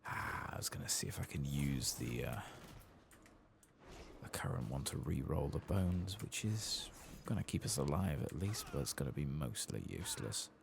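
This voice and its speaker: A man giving soft-spoken ASMR commentary over a video game, with understated asides to himself, close to the microphone. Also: extremely low pitch, expressive, normal speed, creaky, noisy background.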